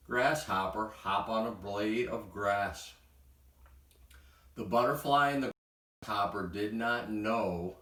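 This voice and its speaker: A man reading a children's bedtime story aloud in an expressive storytelling style. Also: fairly low pitch. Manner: expressive, acts out sound words. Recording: roomy echo, distant from mic.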